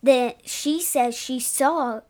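A woman's voice: high-pitched, slow pace, warm tone. A woman co-hosting a podcast, chatting casually, questioning and interjecting as she reacts. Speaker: podcast co-host. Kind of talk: casual chat, reacting to comics.

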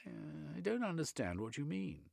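A man's voice: a little high, sing-song, normal speed, gravelly, darkish tone, no echo, clean studio sound, pitched above the performer's own voice, exaggerated voice. A man doing a prim, flustered British gent. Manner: formal, flustered, reserved. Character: prim, nervous, stiff British gentleman.

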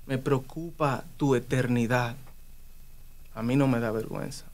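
A man's voice: medium pitch, steady tone, measured pace. Guy preaching in a chat, earnest and emphatic. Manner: passionate, emphatic.

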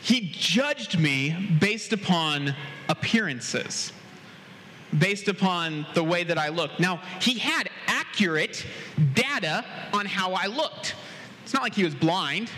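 A man from America preaching a sermon as pastor, telling stories with quoted dialogue and a pace that speeds up and slows down. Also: sits high, animated, pushed and bright, slight background noise. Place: church sanctuary. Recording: long hall reverb.

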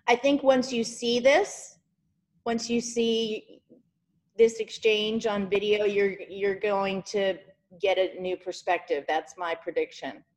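A woman's voice: medium pitch, steady tone, slow, poor audio. A woman hosting a podcast over a video call, talking casually in a rambling, encouraging way and often searching for words. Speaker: podcast host.

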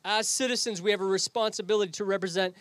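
A man's voice: high-pitched, fairly even intonation, fast talker, fairly bright tone, no echo. A man pastoring an outdoor service, preaching a sermon with a Canadian accent, earnest and prone to repeating and restarting phrases. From England.

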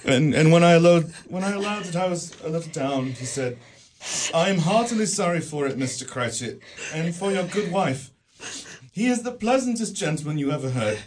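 An actor playing a gentle poor clerk and grieving father in a radio drama, soft and halting. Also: a little high, lively intonation, a little hiss or hum, lightly acted.

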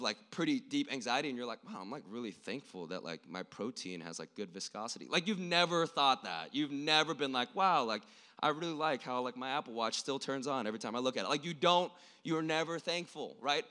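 A young man preaching a sermon in an informal, slangy way, swinging between hushed and impassioned delivery. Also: medium pitch. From born in South Korea. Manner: informal, youthful slang, frequent "like" and rhetorical questions.

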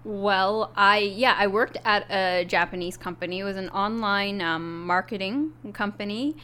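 A woman's voice: medium pitch, a little slow, pushed and bright, clean tone. A young woman talking casually about her work, hesitant with small restarts. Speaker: co-host, English teacher. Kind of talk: casual chat.

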